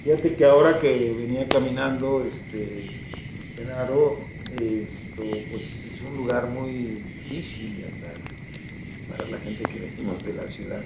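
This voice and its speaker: Echoey sound with clear noise around it carries a man acting as a city journalist in a radio play. His mid-pitched talk is colloquial and halting, like a casual interview. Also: close to an everyday voice. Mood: casual curiosity. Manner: hesitant, colloquial. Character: informal city journalist interviewing guerrilla fighters. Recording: echoey, clearly noisy ambience.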